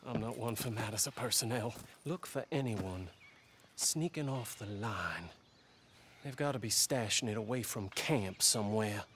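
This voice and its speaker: Earnest, lively Old West foreman. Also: lowish voice, normal speed, slight background noise. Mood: worried, pleading. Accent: American. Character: anxious, courteous railroad camp foreman in the Old West. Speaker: video game character. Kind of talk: game cutscene acting.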